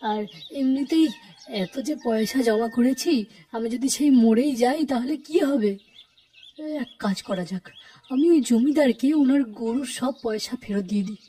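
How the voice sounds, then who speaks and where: A woman's voice: fairly high pitch, normal speed, mellow, a little hiss or hum, close to an everyday voice. A woman voicing a sly, dishonest village farmer man in a cartoon, wheedling and stammering in false innocence. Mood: regretful. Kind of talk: cartoon character acting.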